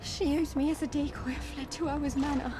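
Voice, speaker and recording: A woman's voice: sits high, fairly even intonation, a little fast, music in the background, lightly acted. A woman playing a frightened, confiding informant in a video game cutscene. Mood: distressed.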